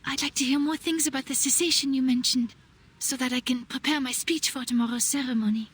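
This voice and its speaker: A woman voicing a gentle, self-doubting young lady artist in a dramatic game, with a soft, breathy, formal delivery. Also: high voice, fairly even intonation, a little fast. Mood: grateful, wistful.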